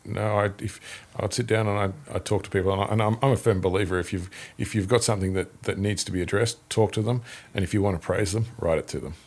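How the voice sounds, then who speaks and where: A man's voice: lowish voice, fairly even intonation, fast talker, few pauses, a little mellow. A man, guest in an interview, talking about leadership with frequent restarts and repeated words. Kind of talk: conversational interview.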